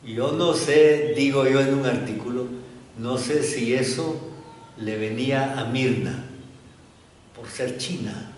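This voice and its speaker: An older man speaks slowly and reflectively, as an academic being interviewed. His delivery is halting and thoughtful, punctuated by small questions seeking agreement. The room echoes strongly around him, and he sits away from the microphone. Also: mid-range voice, darkish tone. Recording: roomy, echoing, distant mic, faint noise.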